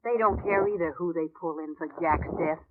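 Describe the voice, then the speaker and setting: A woman's voice: fairly low pitch, a little fast, lightly acted. An actress playing an anxious, defensive, bitter young woman in trouble in a 1950s radio drama, with an intense, melodramatic delivery. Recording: tinny, noisy old radio recording with clicks.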